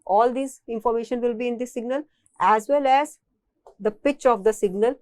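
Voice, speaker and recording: A woman's voice: fairly high pitch, moderate pace, a little mellow, no room sound, studio quality. A woman with an Indian accent giving a technical engineering lecture, explaining step by step and often repeating and rephrasing her phrases.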